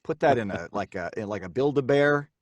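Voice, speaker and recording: A man's voice: medium pitch, expressive, brisk, lo-fi sound. A man, a voice actor on a live show, chatting casually and joking with colleagues.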